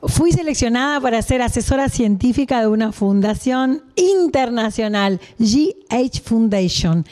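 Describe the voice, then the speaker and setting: A woman presenting to camera with a mellow, darker voice. She speaks with earnest enthusiasm on a studio set. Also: a little high. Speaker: scientific adviser. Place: studio living-room set. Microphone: handheld microphone.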